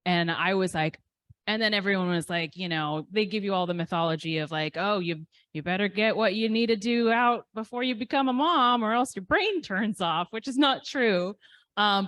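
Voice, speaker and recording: Chatty woman with a low, dry voice. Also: a bit bright, no echo. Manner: candid, self-deprecating, many ums. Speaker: poet guest. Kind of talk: casual interview answers.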